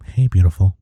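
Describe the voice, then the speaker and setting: Man playing a tender, very mellow boyfriend. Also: no room sound. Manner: tender, reassuring, soft-spoken. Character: devoted, gentle boyfriend comforting his struggling partner. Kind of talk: intimate comfort audio roleplay.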